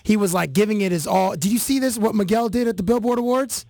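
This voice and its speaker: A Canadian man hosts a chatty interview and tells stories with animated energy and plenty of fillers. His voice sits high for a man. The sound is close and dry. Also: fast talker, dry recording. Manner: animated storytelling with many fillers. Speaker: podcast host. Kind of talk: casual interview chat.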